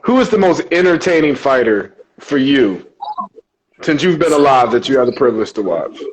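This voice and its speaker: A man co-hosting a casual boxing chat, animated and quick to laugh as he asks the others questions. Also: mid-range voice, a little hiss or hum, low-quality recording. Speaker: podcast co-host asking questions.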